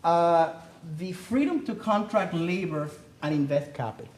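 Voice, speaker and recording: A man's voice: on the high side, lively intonation, measured pace, a little mellow, a little hiss or hum. A middle-aged Cuban-born man giving an earnest academic seminar talk as a panelist, pressing his points with rhetorical questions. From Cuba. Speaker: panelist speaking on the economy. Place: theater.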